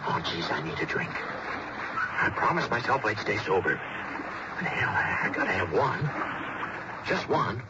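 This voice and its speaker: A man's voice: on the low side, big pitch swings, a little slow, long pauses. An actor in an old-time radio drama playing a resentful, desperate man turned killer who mutters to himself in agitation. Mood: brooding muttering. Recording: muffled old radio drama, loud boat noise.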